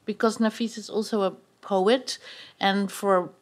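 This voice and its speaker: A woman's voice: medium pitch, steady tone, unhurried. Thoughtful woman critic on a panel. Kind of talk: live panel conversation.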